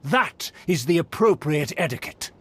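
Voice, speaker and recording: Pompous, haughty nobleman with swooping pitch. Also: animated, normal speed, fairly bright tone, slightly rough. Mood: haughty, lecturing. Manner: pompous, formal, lecturing diction. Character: haughty, pompous male nobleman, scornful of commoners. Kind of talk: video game character acting.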